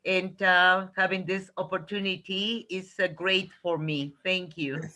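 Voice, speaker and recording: A woman's voice: fairly low pitch, little pitch movement, unhurried, sharp tone. A woman joining a video-call meeting, greeting everyone briefly and a bit apologetically. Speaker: meeting participant. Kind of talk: casual greeting.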